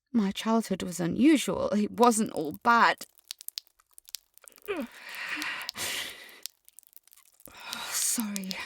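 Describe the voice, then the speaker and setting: A woman's voice: mid-range voice, slight background noise. An actress playing a haughty, defensive upper-class woman delivering a pompous, precisely worded monologue in an audio drama. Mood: defensive, subdued. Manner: posh, pompous, precise formal diction. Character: haughty, defensive upper-class adult alumna named Katrina. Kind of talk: dramatic monologue in a fiction podcast.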